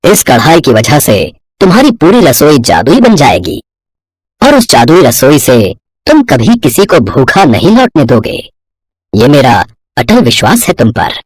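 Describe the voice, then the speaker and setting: A man's voice: medium pitch, wildly swooping pitch, measured pace, dry recording. Man acting a solemn, benevolent old holy man. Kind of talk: Hindi animated moral story acting.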